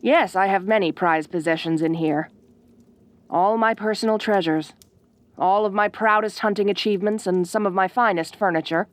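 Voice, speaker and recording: A woman playing a haughty, bossy ice queen in an audio roleplay, speaking with imperious, deliberate phrasing. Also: fairly low pitch, close to an everyday voice. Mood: grudgingly hospitable. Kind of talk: audio roleplay addressed to the listener. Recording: roomy, echoing voice recording.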